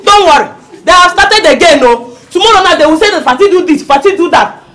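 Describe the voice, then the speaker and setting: Bossy nigerian mama, high animated voice, protective and combative, repeats herself, film comedy drama. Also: female voice, takes it slowly. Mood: loud, protective. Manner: emphatic, repetitive. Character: combative, protective mother, bossy compound caretaker Fati. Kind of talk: screen comedy-drama acting.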